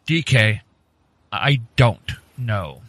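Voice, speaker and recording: A man's voice: lowish voice, animated, extremely slow, a bit bright, no room sound, pitched below the performer's own voice, exaggerated voice. A man playing a wary, skeptical bowler pal with a wry manner in a comedic audio drama.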